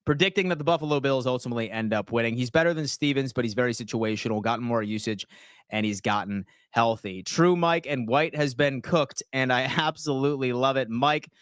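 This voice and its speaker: A man, hosting a casual sports livestream and answering viewers' comments in slangy, animated talk. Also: medium pitch, brisk, smooth, no echo, clean studio sound. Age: 30s. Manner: slangy, animated, reads chat aloud and answers.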